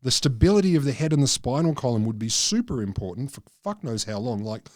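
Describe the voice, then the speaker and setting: Middle aged guy on a science podcast, kinda halting n jokey, mid-pitched voice, close dry mic. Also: sing-song, a little mellow, no room sound. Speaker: podcast co-host. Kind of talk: casual science chat, explaining from notes. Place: small book-lined room, indoors. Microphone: close podcast microphone, headphones.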